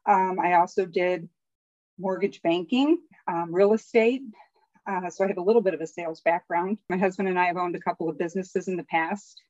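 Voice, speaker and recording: A middle-aged woman, a business owner, talking through her story as a podcast guest with frequent pauses. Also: lowish voice, a little mellow, dry recording, muffled recording. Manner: conversational, many "um" pauses. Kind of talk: podcast interview.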